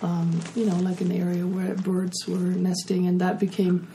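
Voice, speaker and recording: Low, mellow woman with a German accent. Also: completely flat delivery, normal speed, slight background noise. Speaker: author, interview guest. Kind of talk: casual personal storytelling.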